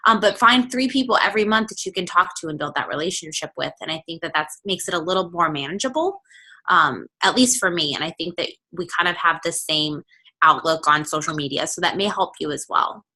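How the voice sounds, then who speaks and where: A woman speaks earnestly and encouragingly on a casual group call. Her voice is bright and forceful. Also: fairly low pitch, lively intonation, a little fast.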